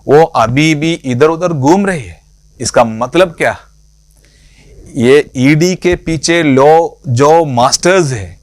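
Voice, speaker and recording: A man giving a public political speech as an organisation leader, in deliberate broken phrases with emphatic repetition and rhetorical questions. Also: on the high side, dry recording.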